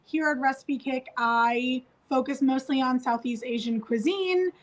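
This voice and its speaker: High-voiced woman from Thailand. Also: measured pace, a little hiss or hum. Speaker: cooking instructor. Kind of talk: casual live video chat.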